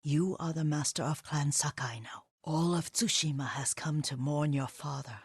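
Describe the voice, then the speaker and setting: An actress playing an elderly, devoted caretaker and herbalist in a video game, gentle but firm, with a husky, breathy voice and a motherly manner. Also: low-pitched, no room sound, studio quality. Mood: encouraging, solemn. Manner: unhurried, softly instructive, motherly. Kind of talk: video game character dialogue.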